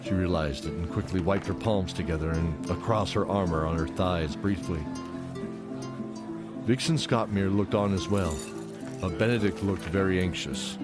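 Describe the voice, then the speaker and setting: A man narrates a fantasy story in a scripted, dramatic style. His voice is very low, and music plays clearly behind him. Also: normal speed.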